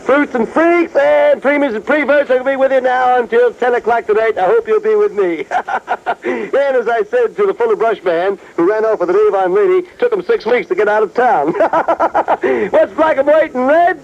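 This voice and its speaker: Man putting on a manic, high-pitched parody radio DJ. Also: normal speed, vocal fry. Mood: manic, jokey. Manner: hammy patter with corny gags and forced laughs. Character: manic, corny, wisecracking parody Top 40 radio disc jockey. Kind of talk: parody 1970s Top 40 radio DJ patter. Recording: dull lo-fi AM radio aircheck tape.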